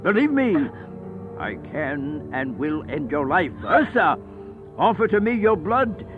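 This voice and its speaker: A man's voice: fairly high pitch, sing-song, a little slow. An actor playing an ancient, menacing male vampire in a radio horror play, with pompous, taunting delivery. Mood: threatening. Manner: grandiose, taunting, pompous pronouncements with mocking laughs. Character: male ancient first vampire, menacing, gloating, self-worshipping tyrant. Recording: muffled lo-fi radio play, loud music underneath. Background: loud music.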